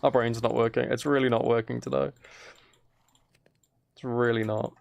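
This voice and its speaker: A man streaming a game, chatting casually in a relaxed ASMR style, rambling along in a self-deprecating way. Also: mid-range voice, normal speed, vocal fry, dull audio. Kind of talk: casual livestream chat while gaming, relaxed ASMR.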